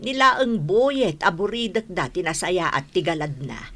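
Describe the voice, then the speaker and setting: A Filipino woman playing a gossipy, disapproving older village mother in a radio drama, with a husky voice. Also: on the low side, sing-song, moderate pace, a bit bright, no room sound, heavily acted.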